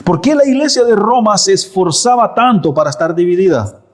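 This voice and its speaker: A man's voice: high-pitched, lively intonation, a little fast. Man preaching with rhetorical questions. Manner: rhetorical questions with emphatic answers. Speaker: pastor. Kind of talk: sermon. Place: church.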